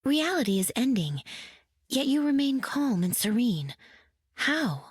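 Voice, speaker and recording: An actress voicing a gentle spiritual woman in a video game, speaking softly with wonder. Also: a little high, very animated, measured pace, breathy edge, no echo, studio quality, full character voice.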